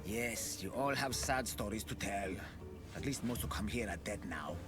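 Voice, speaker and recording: A man's voice: fairly low pitch, normal speed, lightly acted. A man playing a weary, sardonic immortal ferryman of the dead in a video game, with dry theatrical delivery. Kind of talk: video game character acting. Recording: game dialogue under clear music.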